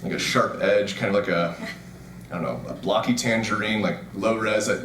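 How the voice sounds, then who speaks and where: A bewildered man waking in a simulation, played by a male actor in a naturalistic audio drama. His voice is fairly low and weary, and he halts and stammers as he feels his way through sentences. The sound is echoey with slight background noise. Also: fairly bright tone, close to an everyday voice. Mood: bemused. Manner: hesitant, halting, stammering.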